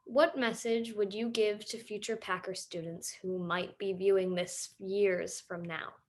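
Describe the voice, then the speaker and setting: A woman playing a calm interviewer in a conversational documentary theater piece. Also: medium pitch, a little slow, lightly acted.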